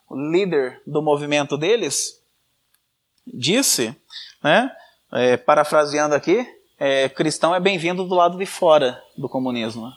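A man giving a sermon-style teaching, lecturing with frequent tag questions and restarts. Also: fairly high pitch. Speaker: church speaker.

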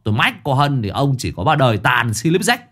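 A man voicing a fussy, sharp-tongued male master who nags and scolds in a rapid-fire way. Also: mid-range voice, big pitch swings, voice pushed up. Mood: mocking scolding.

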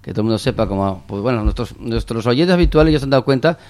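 A man's voice: medium pitch, lively intonation, fast. A man with an accent from Spain, hosting a radio show in casual, unscripted and self-deprecating chat.

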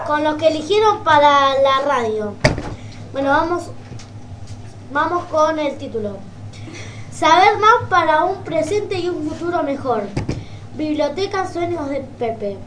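A high-voiced woman with an Argentine accent hosts an informal community radio show. She speaks a little haltingly, repeating words as she goes. The recording has clear noise behind her. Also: expressive. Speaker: radio host.

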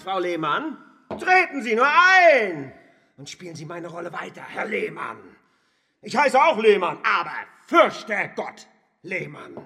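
A man's voice: sits high, bright, forceful tone, slight background noise, exaggerated voice. A male actor playing a bitter, despairing crippled husband in a radio play, theatrical and swinging between mockery and lament. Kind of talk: intense German radio play acting.

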